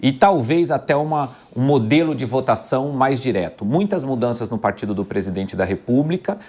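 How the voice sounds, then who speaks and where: Mid-pitched Brazilian male political analyst. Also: animated, brisk, a little mellow. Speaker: political commentator.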